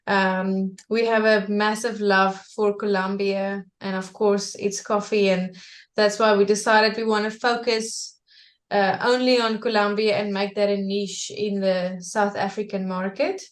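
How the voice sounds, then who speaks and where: A woman who co-runs a coffee import business, speaking gently in an interview. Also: mid-range voice, little pitch movement. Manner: slow and gentle, laughs between phrases. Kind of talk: casual interview talk. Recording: echoey room, from a distance.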